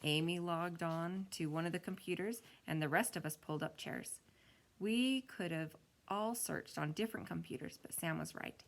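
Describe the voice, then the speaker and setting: A woman reading a children's story aloud like a teacher, with expressive delivery. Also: deep voice, creaky. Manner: expressive reading with acted-out dialogue.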